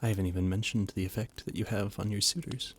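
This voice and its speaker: A man's voice: on the low side, steady tone, fast, slight background noise. A man voice-acting a flustered, formal royal adviser in an audio roleplay, often stammering. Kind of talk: audio roleplay, scripted monologue.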